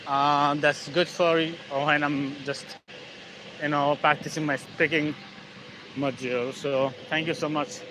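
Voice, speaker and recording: A man with a Bangladeshi English accent talking casually on a live stream. He speaks haltingly, with many fillers and restarts, while walking outdoors in the evening. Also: a little high, little pitch movement, background noise. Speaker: channel host, English learner.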